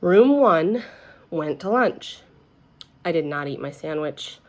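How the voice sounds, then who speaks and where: A woman's voice: deep voice, expressive, slow. A woman reading a children's story aloud expressively, as if to young listeners. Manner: addresses young listeners with questions.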